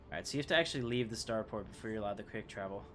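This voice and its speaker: A man's voice: lowish voice, animated, rapid-fire, fairly bright tone, a little hiss or hum. A man giving casual live gameplay commentary as a streamer, chatting and rambling to his viewers as he plays. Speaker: game streamer, host.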